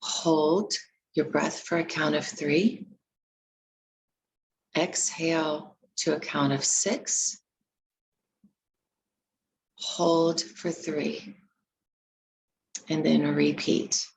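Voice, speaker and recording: A woman leading a guided meditation, giving gentle, unhurried instructions with long pauses between phrases. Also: deep voice, level tone, vocal fry, a little hiss or hum, low-quality recording.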